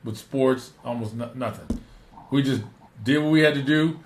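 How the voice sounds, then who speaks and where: A middle-aged man with a naturally rough voice, hosting a podcast and giving emphatic, repeated advice in casual chat. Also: medium pitch, normal speed, reverberant, noisy background. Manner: emphatic, repeats points for stress. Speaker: podcast host.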